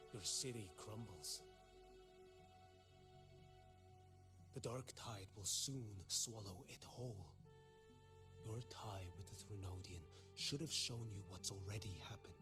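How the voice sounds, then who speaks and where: An actor playing a stern, cold warrior in a fantasy game, grave and accusing in a measured delivery. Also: male voice, sits low. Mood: cold, demanding. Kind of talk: dramatic fantasy game voice acting.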